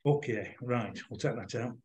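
Older male craft demonstrator, Yorkshire accent. Informal, explanatory, hesitant delivery over a video call, middle pitch. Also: normal speed, a little mellow. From Yorkshire, England. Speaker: fly-tying demonstrator. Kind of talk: informal demonstration with questions and answers.

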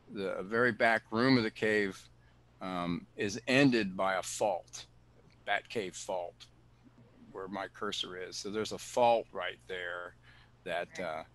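A man, director of a cave preserve, answering questions on a video call in an explanatory way, often pausing with fillers and restarting sentences. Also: on the low side, fairly bright tone, a little hiss or hum. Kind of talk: answering questions in an online talk.

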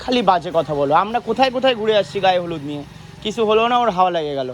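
A man's voice: high-pitched, lively intonation, rapid-fire. A man chatting casually as a family vlogger. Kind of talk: casual family vlog chat. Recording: loud music, traffic and vehicle noise.

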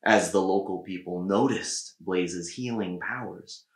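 Male storybook narrator, thirties, lowish voice, deliberate phrasing, recorded in an echoey room. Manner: measured, deliberate phrasing with pauses. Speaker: storybook reader. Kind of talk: read-aloud children's story narration. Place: small indoor room with bookshelves.

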